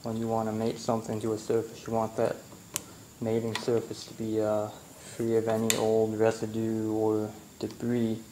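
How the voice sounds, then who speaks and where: A man casually explaining a motorcycle grip install while working in his garage, talking slowly with many fillers. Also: on the low side, monotone. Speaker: motorcycle vlogger. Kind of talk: casual how-to narration. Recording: echoey garage with faint ambient noise.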